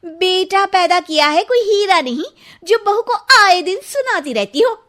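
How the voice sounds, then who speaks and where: A woman's voice: very high-pitched, expressive, unhurried, sharp tone, full character voice. A woman voicing a quarrelsome young daughter-in-law in a cartoon, taunting and bickering. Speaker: voice actor. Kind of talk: cartoon voice acting.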